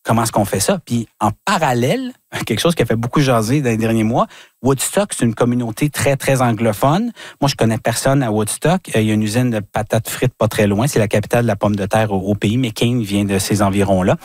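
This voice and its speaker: Male guest, mid-pitched, dry close sound, halting, filler-heavy chat. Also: darkish tone, no room sound. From New Brunswick, Canada. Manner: halting, many fillers and restarts. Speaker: regional guest commentator. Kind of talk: radio interview chat.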